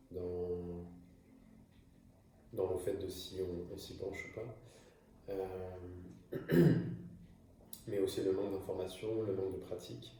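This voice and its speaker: A man's voice: low voice, dark tone, far from the mic, a little hiss or hum. A man from France speaking alone to camera in an improvised, hesitant monologue, with frequent fillers and restarts. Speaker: video creator. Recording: echoey room, voice from afar.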